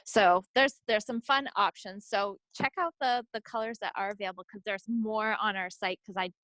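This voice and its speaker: A woman's voice: medium pitch, steady tone, moderate pace, a bit bright, dry recording, low-quality recording. Woman, podcast co-host, mid-range voice, chatty, informal, unscripted craft talk, dry recording. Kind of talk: casual, unscripted craft chat.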